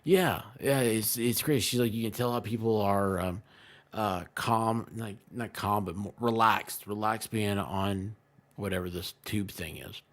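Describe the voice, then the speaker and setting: A man's voice: lowish voice, steady tone, measured pace, a bit bright. A man in casual, rambling chat on a live stream, telling jokey anecdotes and quoting what people said. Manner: jokey, tells stories by quoting people in exchanges.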